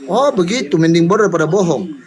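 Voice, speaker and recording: A man's voice: high-pitched, lively intonation, fast, crowd noise. A man in a heated religious debate, arguing back indignantly. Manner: indignant, argumentative.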